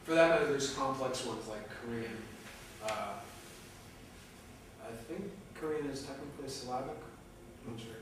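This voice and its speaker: A man's voice: medium pitch, normal speed, long pauses. Male class leader, casual and questioning, recorded from across an echoey room with hiss. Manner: informal, self-deprecating, asks many questions. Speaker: class instructor. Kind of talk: leading a classroom discussion. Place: lecture classroom. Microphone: far room mic. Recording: echoey classroom from far off, noisy.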